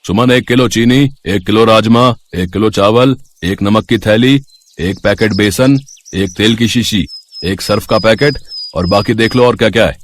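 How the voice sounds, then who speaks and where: A man voicing a stern, demanding grocery shop owner who gives brisk orders in a story. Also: fairly low pitch, a little hiss or hum, lightly acted. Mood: commanding. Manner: brisk, commanding.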